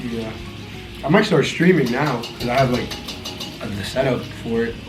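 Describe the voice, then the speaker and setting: A man's voice: mid-range voice, moderate pace, over music. Young man teasing offhand, roomy sound. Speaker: family member on camera.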